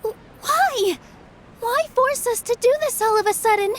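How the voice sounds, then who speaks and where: An actress playing a gentle, earnest young theater performer in a game, speaking sincerely. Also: female voice, extremely high pitch, lively intonation, unhurried, slight background noise, full character voice. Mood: distressed. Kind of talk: English game dub voice acting.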